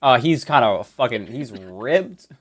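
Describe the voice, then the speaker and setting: A man's voice: mid-range voice, lively intonation, measured pace. Eager stammering man on a stream. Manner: enthusiastic, stammering restarts. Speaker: co-host and audio drama producer. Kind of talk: casual stream chat.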